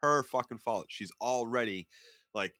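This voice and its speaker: A man's voice: medium pitch, unhurried, sharp tone, no room sound, clean studio sound. A man co-hosting a casual fan podcast, blunt and emphatic, swearing constantly as he makes his points. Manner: blunt, heavily profane, emphatic. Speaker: podcast co-host.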